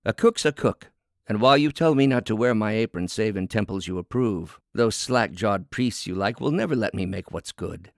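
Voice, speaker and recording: A man's voice: on the low side, lively intonation, moderate pace, gravelly, no room sound, clean studio sound, voice pushed up. A man voicing a proud, defiant working woman, a cook in a storybook fable, speechifying with indignant emphasis. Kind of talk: dramatic storybook fable reading.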